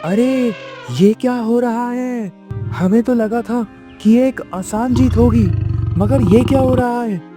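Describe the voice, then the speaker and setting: A man's voice: extremely high pitch, steady tone, slow pace, music in the background. A man voicing a bewildered, panicking soldier in a cartoon story, wailing and drawing out his words. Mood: panicked. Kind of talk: Hindi cartoon moral-story voice acting.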